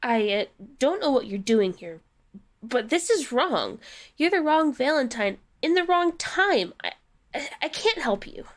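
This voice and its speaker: A woman's voice: high voice, big pitch swings, measured pace. A woman playing a grave, reluctant demigoddess of magical tattoos in an audio drama, solemn and hesitant. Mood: wary.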